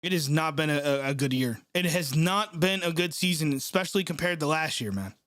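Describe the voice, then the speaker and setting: A young man reacts live to a game for his stream, his nose stuffed up from a cold. His voice sits fairly high, and he vents with sarcasm and frequent swearing. The sound is dry and close. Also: expressive, fairly quick, fairly bright tone, dry recording. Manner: frustrated, sarcastic, swears often. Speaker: sports channel host reacting live. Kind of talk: live play-by-play reaction stream, reading chat.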